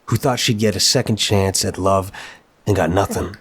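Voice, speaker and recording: A man's voice: low voice, normal speed, fairly bright tone, slightly rough. A man acting as an apologetic, charming mafia boss in a scripted audio roleplay, talking in wry, conversational gangster slang. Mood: wry, explaining.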